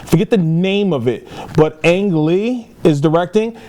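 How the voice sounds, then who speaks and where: A man with a notably high voice talks casually as a podcast co-host. He is emphatic and tends to restate his points for emphasis. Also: unhurried, mellow. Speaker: podcast co-host reviewing movie news. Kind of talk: casual chat.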